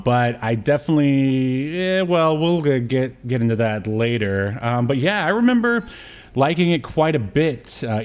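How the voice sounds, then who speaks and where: A man co-hosting a podcast, chatting casually about a TV show with joking, wry asides. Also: medium pitch. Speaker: podcast co-host.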